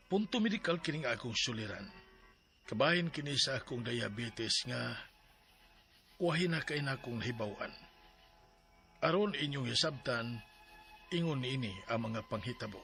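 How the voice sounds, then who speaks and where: A man's voice: medium pitch, creaky, sharp tone, full character voice. A man acting a stubborn Cebuano father in his sixties who narrates his own story in a radio drama. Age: 60s. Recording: music bed behind the voice.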